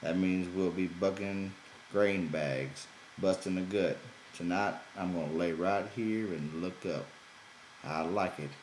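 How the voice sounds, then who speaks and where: Irritable working man, done by a male reader: low, brusque, bossy, rustic dialect, audiobook character in a roomy recording. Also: measured pace, a little mellow. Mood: matter-of-fact. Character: gruff, irritable, bossy male ranch hand. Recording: roomy echo, background noise.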